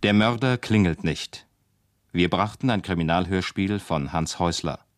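A man working as a radio announcer, reading closing credits formally and in a measured way, pausing between names. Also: deep voice, no room sound, clean studio sound.

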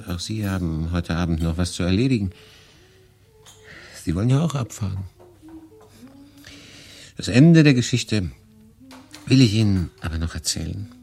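Deep, husky, sombre refugee storyteller. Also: male voice, darkish tone. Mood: weary, resigned. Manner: sombre, measured, deliberate pauses. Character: melancholy male refugee, resigned, recounting his story. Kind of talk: literary radio play, first-person narration and dialogue.

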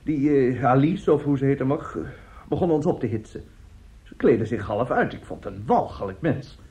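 An actor plays a middle-aged man in a radio drama, a morally loose family friend who justifies himself. His voice is dark and soft-edged, emphatic yet halting. The recording is dull and muffled. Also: medium pitch, lively intonation, natural voice. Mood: uneasy confession.

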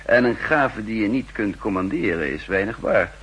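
An actor playing an older, wry and condescending spy chief who also narrates in the first person, in 1960s radio drama style. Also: male voice, fairly low pitch, expressive, moderate pace, a bit bright, breathy edge, full character voice. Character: aging spy chief and cryptographer, wry, secretly scheming. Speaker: narrator and lead character. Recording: humming, noisy 1960s radio recording.

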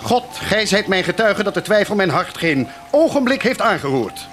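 An actor playing a devout, anguished parish priest in an earnest, pleading radio drama manner. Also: male voice, sits high, big pitch swings, moderate pace, fairly bright tone, soft background music. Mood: fervent, anguished. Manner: earnest, pleading, fervent declamation. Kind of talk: theatrical radio drama acting.

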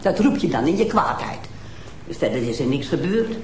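An actress playing a defensive, indignant suspect during a police interrogation. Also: female voice, big pitch swings, moderate pace, a little mellow. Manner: defensive, indignant, rising to shrill denials. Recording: roomy TV soundtrack with faint noise.

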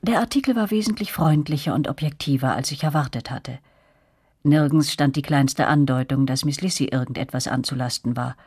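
A woman's voice: very deep voice, fairly quick, darkish tone, breathy edge. A woman playing a first-person narrator recalling her girlhood in a scripted, reflective radio drama narration. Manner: reflective storytelling.

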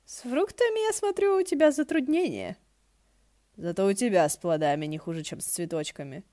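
A woman voicing a proud, sly male ghost lord in an audiobook, sarcastic and quick to bark. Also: medium pitch, expressive, mellow, dry recording, clean studio sound, close to an everyday voice. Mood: mocking, threatening. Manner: sarcastic, barking, teasing.

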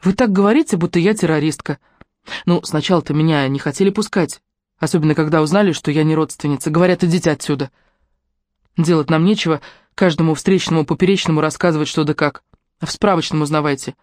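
A narrator voicing a shy but bold young woman who speaks Russian with a Ukrainian accent, in a lively, folksy manner. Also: low-pitched, quick pace, no echo, studio quality, natural voice. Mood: earnest.

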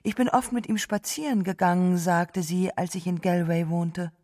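A woman with a soft, mellow voice speaks in a literary radio drama, restrained and wistful. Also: fairly low pitch, steady tone, normal speed.

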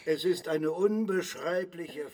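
A man playing a self-important, indignant small-town mayor in a children's audio drama, pompous and emphatic, with a raspy voice. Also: on the high side, slow pace, a crowd in the background. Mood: outraged, demanding.

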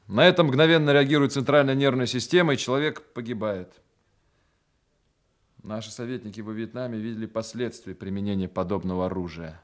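An actor playing an army major in a Soviet radio play, stern but humane, with crisp military delivery. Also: male voice, medium pitch, close to an everyday voice. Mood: grave, explanatory. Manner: crisp military orders, measured explanations.

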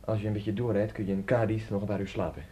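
A man acting a polite, well-to-do traveller in a radio drama, speaking in a measured, formal way. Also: lowish voice, little pitch movement, dark tone, lightly acted. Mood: businesslike. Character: courteous well-to-do male traveller at a country inn. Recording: old radio play recording, hissy, clicks.

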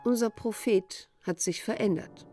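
An actress playing a composed narrator in a radio drama, measured and dryly ironic. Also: female voice, mid-range voice, dark tone, faint music behind, lightly acted.

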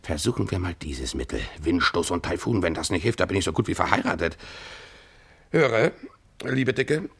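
An actor in a German radio play voicing a gruff, hard-drinking sea captain who blusters and dodges marriage. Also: male voice, deep voice, animated, moderate pace, a bit bright, full character voice. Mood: scheming aside. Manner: blustering, nautical swagger with muttered asides. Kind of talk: German radio play acting, narrating and dialogue.

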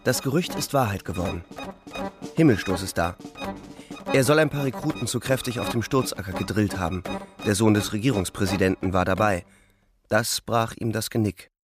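A sombre young soldier played by a male actor tells his story in a radio play. His voice sits fairly low, and he speaks in short, measured, reflective sentences. Music plays clearly behind him. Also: lightly acted. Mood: tense, observant. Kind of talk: literary radio play narration, first person present tense.

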